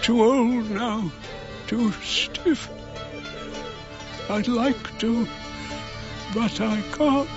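Slow-spoken, haunted old archaeologist. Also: male voice, very high-pitched, full character voice. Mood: wondering, wistful. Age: older. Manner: measured, halting, brooding delivery. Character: reflective elderly archaeologist, haunted and wistful. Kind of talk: radio drama monologue. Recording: dull radio drama recording, music behind.

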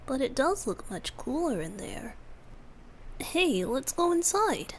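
A woman voicing a youthful male wind-spirit bard, soft, gentle and teasing, often breathy, in a romantic ASMR roleplay. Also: high voice, sing-song, takes it slowly, heavily acted. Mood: relaxed, affectionate.

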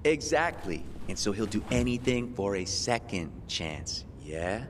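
Cocky young survivor, streetwise slang, flippant, very animated delivery, video game cutscene, clear background noise. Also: male voice, lowish voice, unhurried. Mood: persuasive. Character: brash, streetwise young male hustler surviving a zombie outbreak.

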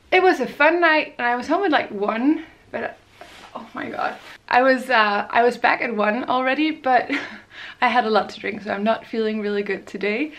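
A woman's voice: a little high, fairly bright tone. A woman, chatting casually to a handheld camera for a travel vlog. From Denmark. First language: Danish. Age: 20s. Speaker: travel vlogger. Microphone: handheld camera at arm's length.

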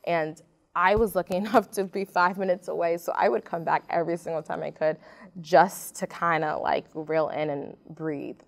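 Low, gravelly young woman, earnest. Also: fairly even intonation, moderate pace. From raised in Martinique. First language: French. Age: young adult. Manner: earnest, reflective, many fillers. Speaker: club alumna panelist. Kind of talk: panel interview. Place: studio stage.

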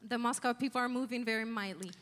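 A young woman interpreting a sermon on a church stage, repeating each phrase briskly and plainly. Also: fairly high pitch, fairly even intonation, a bit bright. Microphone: handheld mic. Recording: long reverb of a large hall.

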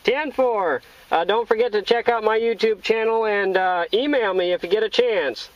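A man's voice: high-pitched, moderate pace, noisy background. An American man chatting casually in CB radio jargon from a vehicle, with a folksy, jovial manner. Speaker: CB radio operator. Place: inside a parked or mobile vehicle. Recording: dull, lo-fi in-vehicle sound.

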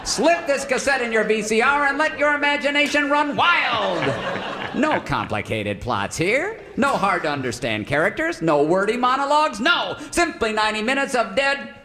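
A brash, folksy huckster played by a man sells hard in a comic old-time radio ad. His voice is high and forceful, full of showy emphasis, over noticeable background noise. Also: sing-song, normal speed, heavily acted. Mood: mock earnest. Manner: exuberant hard-sell delivery with emphatic flourishes. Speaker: radio commercial pitchman.

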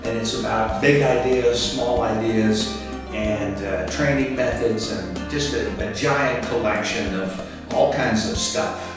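Older man in a very echoey room. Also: on the low side. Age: 60s. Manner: rambling, halting, repeats words. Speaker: author and martial arts instructor being interviewed. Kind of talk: casual interview answers. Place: indoor room by a curtained window. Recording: echoey room, distant mic, music underneath. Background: background music.

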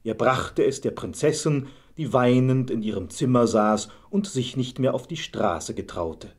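A man with a husky voice narrating a scripted fairy tale as a storyteller. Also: mid-range voice, unhurried, darkish tone.

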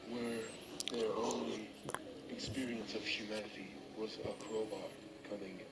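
An actor playing a sinister, mysterious formal man in a game, speaking in halting, oddly stressed phrases. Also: lowish voice, steady tone, heavy background noise, natural voice. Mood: eerie, measured. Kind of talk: cryptic game monologue.

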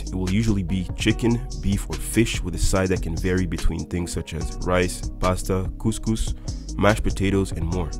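Deep-voiced young man over loud music. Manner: upbeat, explanatory, addresses viewers directly. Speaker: airline pilot and video host. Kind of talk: scripted voice-over narration.

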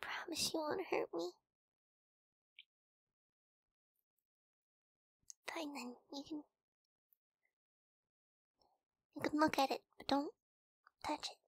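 High-pitched timid wolf girl, acted by a woman. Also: fairly even intonation, normal speed, no echo. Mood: wary, frightened. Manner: soft, breathy, often whispering, hesitant. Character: timid injured young wolf girl, wary but sweet. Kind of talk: ASMR girlfriend audio roleplay. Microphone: close microphone.

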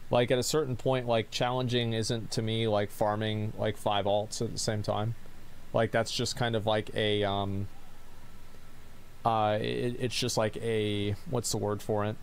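A tired man in his thirties streaming, reading viewer questions and answering in a rambling monotone full of fillers and restarts. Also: fairly low pitch, unhurried, a little hiss or hum.

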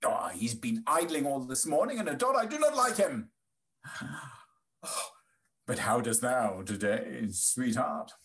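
Man playing a slow, swooping, blustering old gentleman. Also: mid-range voice, big pitch swings. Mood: fussy, testy. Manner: fussy, exclaiming, stammering. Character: blustering, hurried old gentleman, a stern father. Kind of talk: period stage comedy read aloud. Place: indoors, on a video call.

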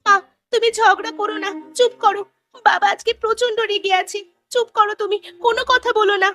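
A woman acts out a young village girl in a cartoon drama. The voice is piercingly high, anxious and urgent. It is recorded dry, with no room sound. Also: fairly even intonation, fairly quick, pushed and bright, no room sound. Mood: anxious pleading. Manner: pleading, urgent. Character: pleading young village daughter, anxious and protective.

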